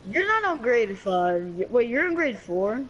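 Giggly fifth-grade kid on game chat. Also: male voice, very high voice, unhurried, muffled recording. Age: child. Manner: excitable, giggly, stumbling over words. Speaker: online game player. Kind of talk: casual voice chat while gaming.

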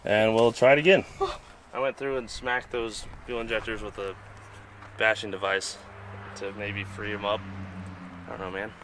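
A laid-back man with a lowish voice talks through a repair job, rambling a little and swearing casually. He sounds relaxed and hands-on, explaining as he works outdoors by a vehicle, with faint noise in the background. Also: normal speed, pauses often. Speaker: host and mechanic.

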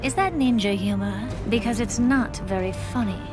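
A woman's voice: on the high side, normal speed, close to an everyday voice. A woman playing a sardonic female thief in a video game, dry and sarcastic. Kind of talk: video game cutscene acting. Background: loud music.

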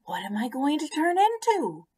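A woman voicing an eager, innocent young male polliwog in a storybook, excitable and full of curiosity. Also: high voice, moderate pace, voice pushed up. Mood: eager, curious. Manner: excitable, wide-eyed delivery.

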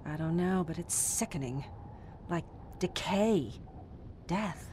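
An actress playing a determined, bossy bank teller on the run in a period suspense audio drama, urgent and taking charge. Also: female voice, lowish voice, takes it slowly, dark tone, a little hiss or hum. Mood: uneasy.

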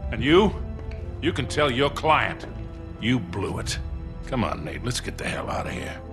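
An actor with an American accent playing a brash, wary older wheeler-dealer in a video game, blunt and folksy. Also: male voice, medium pitch, very animated, unhurried, over music. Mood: indignant. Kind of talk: video game cutscene acting.

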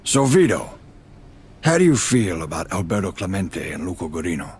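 Low, expressive mafia boss. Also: male voice, normal speed, husky, exaggerated voice. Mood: calculating authority. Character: middle-aged mafia boss, smooth and calculating. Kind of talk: video game cutscene acting.

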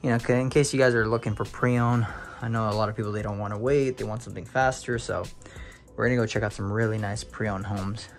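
A young man filming a casual home tour on a handheld phone, talking to viewers with excited exclamations and lots of fillers. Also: on the low side, fast talker, faint music behind. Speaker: real estate video host. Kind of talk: casual walkthrough narration. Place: inside a large empty house.

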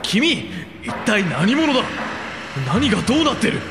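An actor playing a desperate, forceful military technical officer in an agitated radio drama performance. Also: male voice, very high voice, big pitch swings, moderate pace, noisy background, exaggerated voice. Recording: reverberant, music behind.

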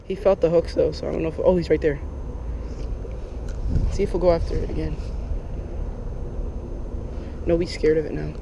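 A young man giving casual, excitable running commentary while fishing from a lake bank, recorded on a head-mounted action camera. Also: a little high, quick pace, vocal fry, muffled recording, background noise. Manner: chatty, excitable, lots of "like" and "oh my gosh". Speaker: fishing vlogger. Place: outdoors on a lake bank in Florida.

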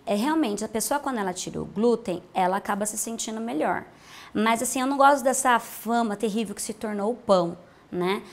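A woman in her thirties with a Brazilian accent, a nutritionist explaining diet in a TV health interview, patient and plain-spoken, on a lapel mic. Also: a little high. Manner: explanatory, patient, uses simple comparisons. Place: bright room with large windows.

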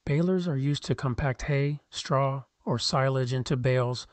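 A man narrates a scripted countdown with a steady, measured explainer delivery. His voice sits at an ordinary middle pitch and is recorded close and completely dry, with no room sound. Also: no echo. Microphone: voice-over, close mic.

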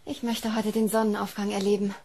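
A woman's voice: mid-range voice, flat delivery, a little fast, a little mellow, natural voice. An actress playing a tender, affectionate woman soothing her partner in a German radio drama. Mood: tender, hopeful. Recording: studio drama with faint background noise.

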